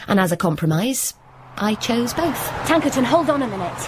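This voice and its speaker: Wry scheming young woman, deadpan, playful wordplay, radio drama narration, ambient background noise. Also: on the high side, moderate pace. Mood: exasperated. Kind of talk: radio comedy drama, first-person narration and dialogue.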